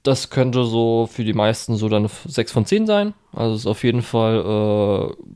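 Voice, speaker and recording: A man's voice: lowish voice, lively intonation, moderate pace, mellow, clean tone. A man co-hosting a chatty podcast, rambling with many fillers and restarts. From Germany. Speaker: podcast co-host. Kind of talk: casual chat.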